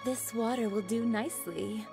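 A woman's voice: a little high, gravelly. A playful, flirtatious perfume maker from a video game. She speaks in a coy, sultry way, stretching words suggestively and teasingly, with music playing behind her. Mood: suggestive instructing. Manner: sultry, teasing, drawn-out emphasis. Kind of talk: video game character acting.

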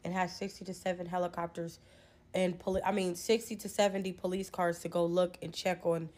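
A woman's voice: fairly low pitch, level tone, fairly quick, darkish tone. Young woman, 20s, casual phone-to-camera commentary; emphatic, profane, incredulous. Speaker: social media commentator. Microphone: phone held close, selfie framing.

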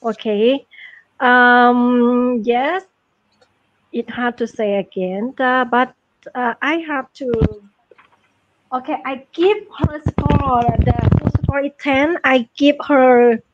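A woman's voice: sits high, slow pace, lo-fi sound, background noise. A woman judging a student on a video call, polite and hesitant, with nonnative English grammar. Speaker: judge. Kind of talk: giving feedback and a score.

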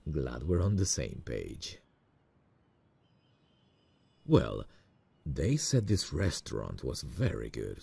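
Very deep, gravelly smitten boss, acted by a man. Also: darkish tone, heavily acted. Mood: warm, eager. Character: smitten, nervous male boss on a work trip. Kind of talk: romantic ASMR roleplay acting.

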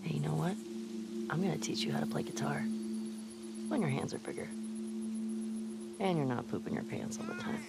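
Soft gentle young mom in a game, playful, pitch moves around a lot, loud music behind. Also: female voice, big pitch swings, normal speed, vocal fry, pauses often, full character voice. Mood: tender, wistful. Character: tender, teasing young woman, doting mother on a farm. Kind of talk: naturalistic video game acting.

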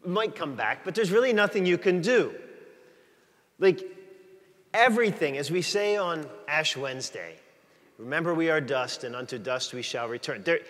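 Chatty priest in a reverberant church. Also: male voice, a little high, expressive, normal speed, fairly bright tone. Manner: chatty, joking with the congregation. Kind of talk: conversational sermon. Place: large church, walking the aisle before the altar.

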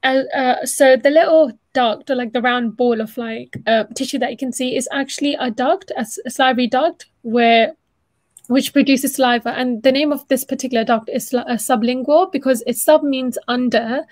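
High-voiced young woman, dry sound. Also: steady tone, normal speed, no room sound. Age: young adult. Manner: chatty, encouraging, rephrases as she explains. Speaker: student presenter of an online dental anatomy session. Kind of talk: informal online teaching, explaining to students. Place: small room at home, indoors. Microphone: video call.

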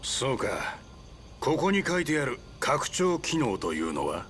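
Rugged, easygoing mentor. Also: male voice, mid-range voice, very animated, unhurried, fairly bright tone, a little hiss or hum. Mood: casual, interested. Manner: rough, familiar, casual. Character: rugged, easygoing middle-aged mercenary mentor. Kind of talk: anime-style game voice acting. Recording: music behind.

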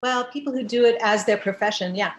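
A woman's voice: on the high side, fast talker. A woman moderating a panel over a video call, speaking fluently and articulately. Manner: articulate, fluent, lightly emphatic. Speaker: moderator and translator.

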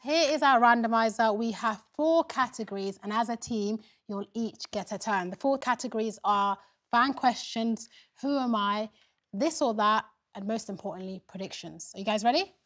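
A woman's voice: on the high side, moderate pace. Upbeat woman hosting a studio game show. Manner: lively, bursts of excited cheering. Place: bright studio, indoors.

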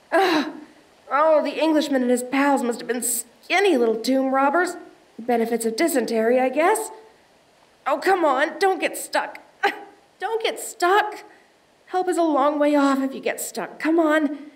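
An actress playing a determined young woman treasure hunter in an audio drama, talking to herself in a dramatic way. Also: high-pitched. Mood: straining, anxious. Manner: breathy exclamations, self-coaxing, dramatic. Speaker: audio roleplay voice actor.

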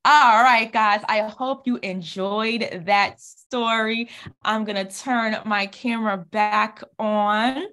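A woman's voice: medium pitch, fairly even intonation, slow, pushed and bright. A woman in her thirties doing an interactive read-aloud for young children, encouraging them and asking questions, recorded indoors on a video call. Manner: encouraging, exclamatory, asks questions and answers them. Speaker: children's author reading own book. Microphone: computer mic on video call.

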